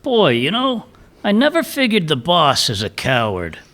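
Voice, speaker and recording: Brief: joking crew hand, lively pitch. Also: male voice, measured pace, exaggerated voice. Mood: amused. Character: easygoing male smuggler crew member. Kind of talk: radio play acting.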